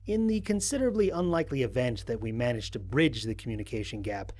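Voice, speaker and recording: A man playing an analytical, dryly wry explorer recording a mission log in an audio drama, in formal, precise, measured diction. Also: medium pitch, lively intonation, a little mellow, lightly acted. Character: analytical, dryly wry human explorer logging first contact.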